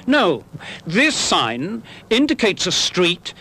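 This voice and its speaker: A man's voice: sits high, wildly swooping pitch, slow, pushed and bright, husky. A man giving scripted narration for an old public information film. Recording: old film soundtrack, faint noise.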